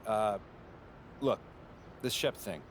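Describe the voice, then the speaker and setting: An actor voicing a polished, data-obsessed male marketing executive in a video game, speaking in smooth corporate terms. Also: on the low side, slow, slight background noise. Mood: businesslike. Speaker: head of brand and marketing. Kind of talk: video game cutscene dialogue.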